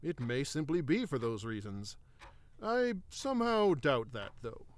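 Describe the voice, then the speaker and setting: A man playing a thoughtful druid royal and father in a fantasy audio drama, speaking formally and in measured phrases. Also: medium pitch, very animated. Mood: uneasy, guarded.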